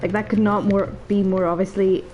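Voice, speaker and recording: A woman's voice: medium pitch, little pitch movement, fairly quick, dull audio, faint music behind. A woman giving casual, rambling, self-deprecating let's play commentary, her voice slightly stuffed up as if from a cold. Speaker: gaming video host.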